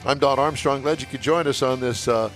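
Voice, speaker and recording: A man with a drawl hosts a car show from an outdoor event on a headset mic, teasing and joking with his guests. His pitch sits in an ordinary middle range, and faint music plays behind him. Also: a little fast, fairly bright tone. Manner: jovial, teasing banter. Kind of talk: casual on-air chat.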